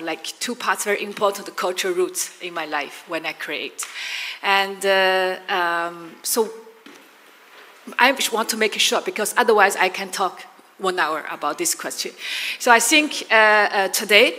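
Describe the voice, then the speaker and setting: A woman's voice: medium pitch, sharp tone. A woman from Shanghai speaking English with a Chinese accent as an artist on a panel, earnest and often searching for words. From Shanghai, China. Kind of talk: panel discussion answer. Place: large conference hall. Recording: reverberant conference hall.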